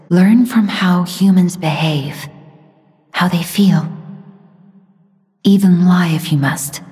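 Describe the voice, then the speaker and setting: Sorrowful, gentle young mystic woman, video game guide, breathy and soft, very unhurried, long cavernous reverb, music underneath. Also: on the low side. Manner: soft, breathy, measured, earnest delivery. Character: gentle, mysterious, sorrowful young woman guiding a chosen puppet.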